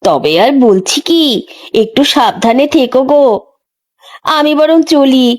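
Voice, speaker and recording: A woman's voice: sits high, lively intonation, a little slow. A woman voicing a gossipy village neighbour in a dramatised horror story, with a familiar, dramatic way of talking. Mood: grave. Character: gossipy village neighbour bearing grim news.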